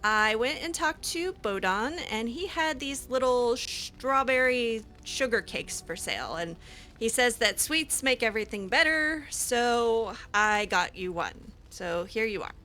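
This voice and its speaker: A woman's voice: on the high side, animated, a little slow, bright, forceful tone. A woman hosting a game roleplay, talking back to characters with sarcastic, hesitant remarks and laughs. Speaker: roleplay game player and channel host.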